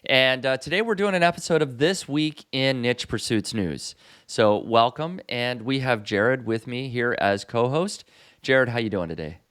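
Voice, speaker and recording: Relaxed male podcast host. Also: medium pitch, normal speed, clear, resonant tone. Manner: relaxed, with frequent fillers. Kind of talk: casual podcast chat. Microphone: studio boom mic.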